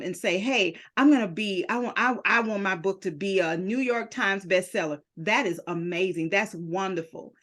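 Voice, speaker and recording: A middle-aged woman hosting an interview as a book publisher, an animated storyteller who acts out the dialogue she quotes. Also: medium pitch. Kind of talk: podcast interview.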